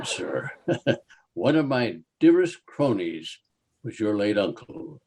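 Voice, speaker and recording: An actor playing a genial, morbidly jolly undertaker with formal, florid speech in a radio comedy. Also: male voice, medium pitch, breathy edge, heavily acted. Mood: unctuously friendly. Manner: formal, florid, chuckling delivery. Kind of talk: old-time radio comedy acting.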